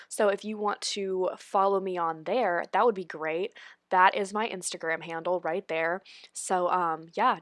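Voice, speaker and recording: A woman's voice: medium pitch, no echo, clean studio sound. A young woman with a stuffy, congested voice from a cold, talking casually through a makeup tutorial voice-over, full of "like" and "honestly". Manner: chatty, lots of "like" and "honestly". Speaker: beauty vlogger. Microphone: voice-over recorded separately.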